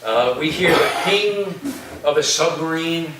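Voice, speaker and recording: A man informally reading stage directions at a play reading, halting as he describes the scene. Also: on the high side, lively intonation. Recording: echoey room from far off, noisy.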